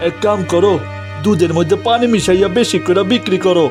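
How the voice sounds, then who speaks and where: Man as a high-pitched sly village trader. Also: fast talker, music in the background, natural voice. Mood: sly, teasing. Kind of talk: cartoon fairy tale voice acting.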